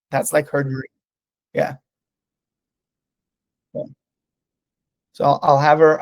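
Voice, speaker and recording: A man's voice: a little high, a little mellow, no echo, low-quality recording. A man whose first language is Hebrew, speaking English on a casual business video call, hesitant with many ums and restarts. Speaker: sales representative on a business call. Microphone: computer mic on a video call.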